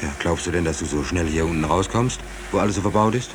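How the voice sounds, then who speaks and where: Low-pitched male actor as uneasy seaman, earnest and halting, vintage radio drama with audible noise. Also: close to an everyday voice. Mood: worried. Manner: halting, repeats words, earnest. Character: naive, anxious young sailor, brooding about war and state. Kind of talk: 1940s German radio drama acting. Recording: noisy 1940s radio drama recording.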